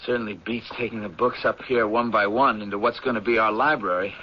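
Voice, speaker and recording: A man's voice: fairly low pitch, steady tone, brisk, slight background noise, natural voice. An actor playing a teasing, idealistic young male anthropology professor in a 1970s radio drama. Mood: good-humored, straining. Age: young adult. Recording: muffled 1970s radio broadcast.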